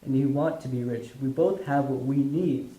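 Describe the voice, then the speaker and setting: A man's voice: mid-range voice, moderate pace, warm tone, lightly acted. A man voicing desperate, down-on-their-luck characters in an amateur puppet show. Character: desperate young man bargaining, and poor reluctant apothecary. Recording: roomy echo, faint music.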